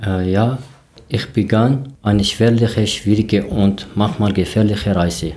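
A man in his thirties from Syria, a podcast guest, speaking carefully and deliberately with some fillers. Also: on the low side, steady tone, mellow. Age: 30s. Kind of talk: casual podcast interview.

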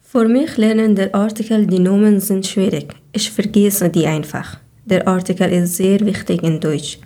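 A woman from Afghanistan, a podcast co-host reading prepared answers slowly and deliberately. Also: mid-range voice, fairly even intonation, warm tone.

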